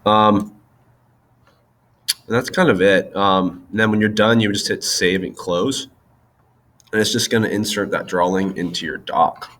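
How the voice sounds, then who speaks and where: A young man with a stuffed-up nose walks through a software tutorial in a loose, chatty way, full of ums and likes. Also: lowish voice, steady tone, unhurried, long pauses, slight background noise. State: stuffy-nosed from allergies. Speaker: tutorial presenter. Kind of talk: casual screen-recorded software tutorial.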